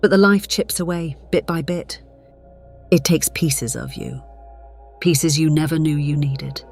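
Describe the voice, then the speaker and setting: A woman playing a centuries-old, conflicted vampire, narrating gravely in a measured, theatrical way. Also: low voice, steady tone, a little mellow. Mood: brooding. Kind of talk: dramatic scripted narration. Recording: dramatic music behind the voice.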